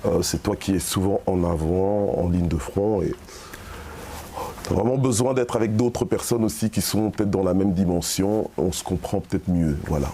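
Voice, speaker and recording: Deep, mellow-voiced male pastor. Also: steady tone, moderate pace, slight background noise. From Belgium. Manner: soft-spoken, hesitant with many fillers. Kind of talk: interview guest. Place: studio set.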